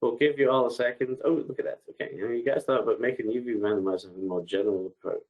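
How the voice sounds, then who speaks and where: A man with a bad cold and a congested voice, answering webinar questions in a relaxed, hesitant way, reading each question aloud before replying, over a headset on a video call. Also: mid-range voice, fast talker, phone-quality sound. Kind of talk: live webinar Q&A, unscripted.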